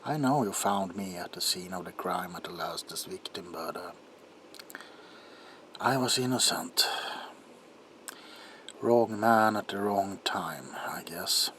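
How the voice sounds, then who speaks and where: A man playing a troubled, desperate doctor in an ASMR roleplay monologue, speaking haltingly in a hushed voice that often drops toward a whisper. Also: sits low. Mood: uneasy, guarded. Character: troubled doctor protesting innocence, desperate and haunted. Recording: audible background noise.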